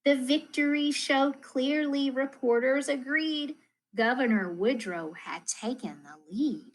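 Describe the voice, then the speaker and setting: Lady reading a rhyming kids book out loud, highish voice, takes it real slow and expressive. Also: a little hiss or hum, rough recording. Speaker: school math coach reading aloud. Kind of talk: read-aloud children's rhyming storybook narration.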